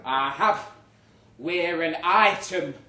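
A man's voice: fairly high pitch, slow, sharp tone. A man voicing a lovesick, blustering office worker who talks tough and pleads melodramatically in a live comic story reading. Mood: nervous, indignant. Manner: melodramatic, stammering tough talk. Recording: roomy, distant live recording with noise.

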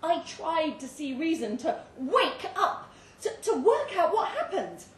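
A woman giving an expressive, theatrical reading of a suspenseful short story at a live indoor event. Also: very high-pitched, measured pace. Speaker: story reader. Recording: roomy live venue, distant mic, faint noise.

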